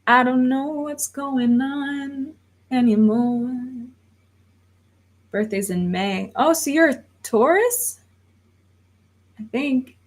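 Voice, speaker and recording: A woman's voice: sits high, takes it slowly, pauses often. A woman in her thirties, rambling casually on a livestream and reading viewer comments aloud before answering them. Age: 30s. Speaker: livestream host.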